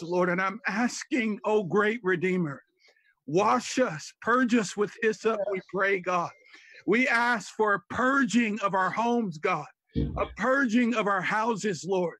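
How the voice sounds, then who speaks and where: This is a man with a fairly high voice, praying aloud with intense fervor. He returns to repeated appeals of "God" and "Lord" throughout. The sound is dry and close. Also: a little slow, a bit bright, no room sound. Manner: fervent, constantly interjecting "God" and "Lord". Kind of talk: extemporaneous prayer.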